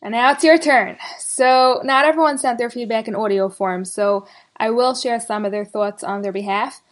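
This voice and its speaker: A woman's voice: a little high, moderate pace. A woman hosting a show, introducing guests and reading listener messages with emphasis. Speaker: podcast host.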